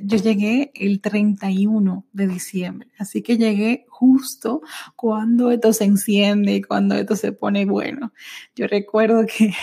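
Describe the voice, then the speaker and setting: A woman in her thirties shares her own life story on a podcast she records at home. Her voice is mellow and warm, at an ordinary middle pitch. She talks intimately and reflectively, often pausing on a soft "eh". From United States. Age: 30s. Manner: intimate, reflective, frequent "eh" pauses. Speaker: podcast host. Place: small home apartment. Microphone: studio microphone.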